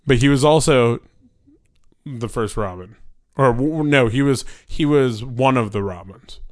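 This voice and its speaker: A man's voice: mid-range voice, unhurried, dry recording, studio quality. A man casually guessing answers in a chat, hesitant and jokey, with many fillers. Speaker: guest.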